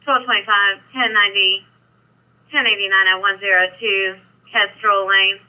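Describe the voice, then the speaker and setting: A woman's voice: mid-range voice, little pitch movement, normal speed. A woman working as a police dispatcher, giving clipped codes and acknowledgements over a two-way radio. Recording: muffled police scanner radio feed.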